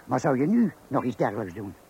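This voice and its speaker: A worldly, lecturing man of fifty in an old radio play. His creaky, mid-pitched voice is emphatic and patronising, as if explaining life to someone less experienced. The recording is slightly noisy. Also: big pitch swings, a little fast, a little mellow. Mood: warning, emphatic. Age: 50s. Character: worldly, lecturing fifty-year-old colleague and father of many.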